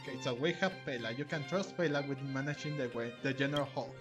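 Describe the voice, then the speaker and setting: A man hosting a gaming video, commenting casually and reading game text aloud a bit haltingly. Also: mid-range voice. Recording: game music clearly behind the voice. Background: video game music.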